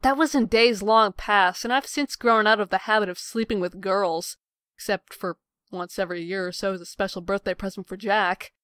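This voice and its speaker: A rebellious, sarcastic sister voiced by a woman in a smooth, clean voice of middle pitch. She is flippant and snide in comic dub style, with a dry recording. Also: steady tone, normal speed, a bit bright, dry recording, natural voice. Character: brash, sarcastic, rebellious younger-sounding sister.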